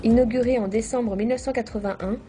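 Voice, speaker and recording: A woman reading a scripted documentary narration in a measured, formal manner. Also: on the high side, fairly even intonation, over music.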